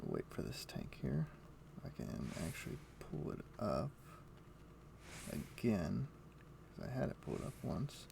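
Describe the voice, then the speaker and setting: A man livestreaming a game, chatting casually and thinking aloud in a rambling, halting way. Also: deep voice, wildly swooping pitch, gravelly, background noise. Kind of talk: casual chat while playing an online game. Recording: music playing behind. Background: music.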